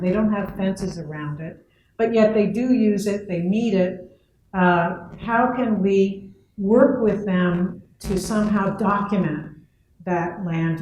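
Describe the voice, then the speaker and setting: A woman's voice: medium pitch, warm tone. A woman giving a keynote talk at an academic conference, speaking earnestly, with fillers between points. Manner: earnest, thoughtful, with frequent fillers. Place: lecture hall. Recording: echoey lecture hall, faint noise.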